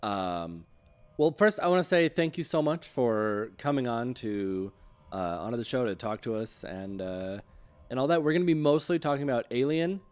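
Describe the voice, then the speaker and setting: A man hosting a show, chatting casually with a guest in a halting, hesitant way. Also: mid-range voice, lively intonation, clean tone. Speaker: show host. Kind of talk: casual interview chat.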